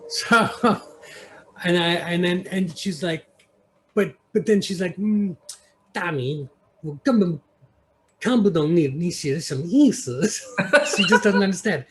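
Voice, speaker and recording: A man from New York with an American accent, an interview guest telling stories casually and haltingly, sometimes switching between English and Mandarin. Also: sits high, lively intonation. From New York, United States. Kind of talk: casual conversational storytelling. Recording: clear background noise.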